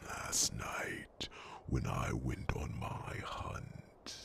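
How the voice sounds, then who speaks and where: Man voicing a very slow, gravelly whispering fantasy elder. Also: extremely low pitch, animated, heavily acted. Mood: wary, troubled. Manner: formal archaic phrasing, murmured pauses and hums. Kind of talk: ASMR fantasy roleplay, slow whispered monologue.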